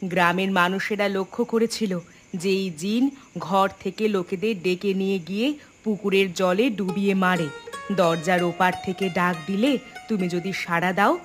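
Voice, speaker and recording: A woman voicing a mother in a cartoon, gravely recounting village ghost tales in a knowing way. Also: mid-range voice, steady tone, moderate pace, faint music behind, natural voice. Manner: grave, explanatory storytelling. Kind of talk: animated story dialogue.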